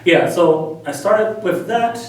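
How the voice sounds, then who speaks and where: Casting note: friendly, easygoing man, slightly higher voice, recorded from a distance in a very echoey room. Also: slow pace. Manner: relaxed, friendly, joking. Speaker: interviewee, missionary and English teacher. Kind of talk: casual interview answers.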